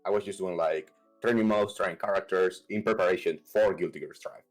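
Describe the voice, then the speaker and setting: A young man from Venezuela with a Venezuelan Spanish accent, answering interview questions about his gaming in a halting way, with many fillers and restarts. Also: sits low, soft background music. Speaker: competitive fighting game player.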